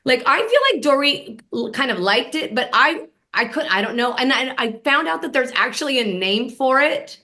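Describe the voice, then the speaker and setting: Lively, bright-voiced middle-aged woman host. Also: high voice, normal speed. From Northern California. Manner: animated, emphatic, swears casually. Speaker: podcast host. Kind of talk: casual chat.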